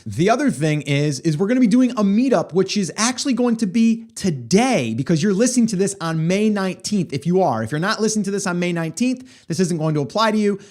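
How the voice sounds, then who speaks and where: A middle-aged man hosting a podcast, telling a story in a chatty way with lots of fillers, his voice hoarse. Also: sits high, darkish tone. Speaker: podcast host. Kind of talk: casual solo podcast monologue.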